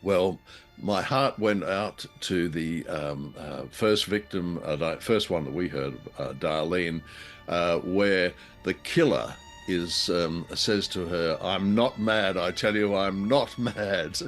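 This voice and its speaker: Low-voiced Australian man chatting. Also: takes it slowly, fairly bright tone, faint music behind. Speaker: podcast co-host. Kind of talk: casual unscripted chat.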